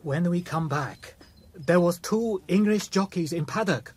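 An actor playing an anxious, deferential stable groom in a radio drama, speaking halting, clipped broken English. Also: male voice, high voice, a little mellow, slightly rough. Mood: nervous, deferential.

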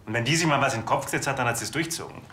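An actor playing a brisk brewery man, answering questions in a TV crime drama. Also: mid-range voice, bright, forceful tone, slight background noise, close to an everyday voice. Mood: frank, animated. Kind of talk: naturalistic TV crime drama acting. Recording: echoey room.

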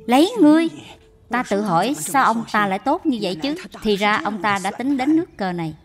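A woman's voice: on the high side, lively intonation, brisk, crowd noise, lightly acted. A woman voicing a bitter old mother-in-law in a cartoon dub, harsh and suspicious.

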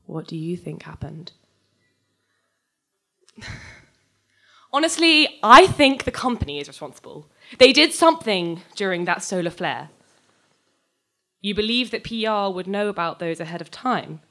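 A young adult woman giving a live, scripted dramatic reading of fiction on stage into a microphone, moving between measured narration and conversational dialogue. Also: medium pitch, animated, bright, forceful tone. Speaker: reader of a short story. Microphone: handheld-type microphone on a stand, close.

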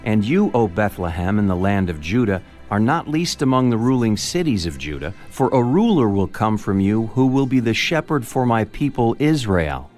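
A man's voice: on the low side, very animated, moderate pace, few pauses, darkish tone. A man acting a learned priest who solemnly declaims prophecy in a dramatized audio Bible. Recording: faint music behind the voice.

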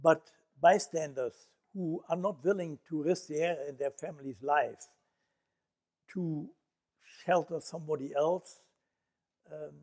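An older man with a Dutch accent addresses an audience in an auditorium. His voice sits a little high, picked up from a distance. He talks in a reflective, unhurried way, with fillers between his thoughts. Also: darkish tone. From Netherlands. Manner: reflective storytelling with frequent fillers. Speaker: Holocaust survivor speaker. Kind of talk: lecture. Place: auditorium stage.

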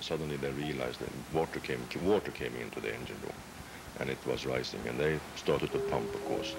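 Very deep gravelly ship captain, man. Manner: halting, repeats words when recounting. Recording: noisy news clip.

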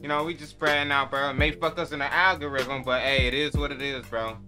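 A man's voice: mid-range voice, steady tone, brisk, music in the background. A man gives casual, hyped, slangy and profane reaction commentary. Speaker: reaction video host.

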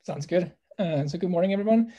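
Mellow-voiced man from Hungary. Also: sits high, highs cut off. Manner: measured, slightly hesitant. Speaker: alumnus panelist. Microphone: video call.

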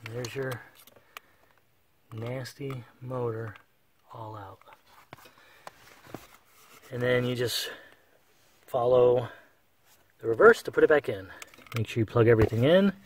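A man walks through a car repair at an unhurried, deliberate pace, speaking plainly as he handles tools under the dashboard. His voice sits at an ordinary pitch, picked up close by a phone with a faint hiss behind it. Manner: plain, unhurried, talks while working with tools. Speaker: car repair how-to presenter. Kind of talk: casual step-by-step repair demonstration.

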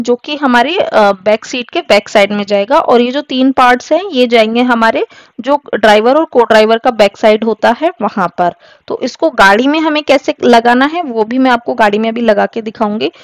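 A woman talking casually as she demonstrates a product, explaining each step. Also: a little high. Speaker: vlogger reviewing a car bed. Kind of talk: casual product demo vlog. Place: inside a car.

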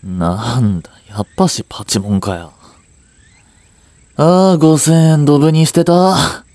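A man's voice: fairly high pitch, a little slow, a little mellow, dry recording. A young man acting a curt, flustered boyfriend who hides his affection, with a hesitant, brusque delivery in a romance audio drama. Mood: gruff, embarrassed. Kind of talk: Japanese romance audio drama aimed at women.

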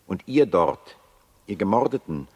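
An anguished, bitter moralist declaims. The delivery is solemn, rhetorical and accusing, in the grand manner of a radio play. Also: male voice, sits low, sing-song, a little slow, slightly rough, exaggerated voice. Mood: indignant, accusing. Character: anguished, embittered male moralist and writer at his desk. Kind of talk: theatrical radio-play monologue.

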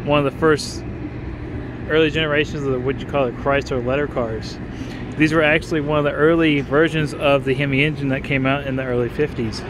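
Young man giving a casual car walkaround. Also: medium pitch. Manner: informal, enthusiastic, many "like" fillers. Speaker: car show videographer. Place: outdoor car auction lot. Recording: outdoor noise behind voice.

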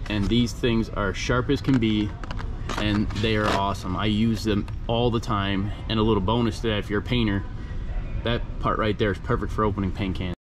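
A man in his thirties walking the aisles of a big hardware store, chatting casually and enthusiastically to a handheld camera as he points out products. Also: mid-range voice. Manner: chatty, enthusiastic, loose with fillers and restarts. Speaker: handyman vlogger. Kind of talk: casual walk-through product vlog. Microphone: handheld camera at arm's length.

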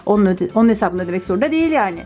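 Fast-talking woman, chatty video host. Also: medium pitch, lively intonation, darkish tone, soft background music. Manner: chatty, addresses viewers as friends. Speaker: toy unboxing video host, a mother with her child. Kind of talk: casual chat to viewers while unboxing toys.

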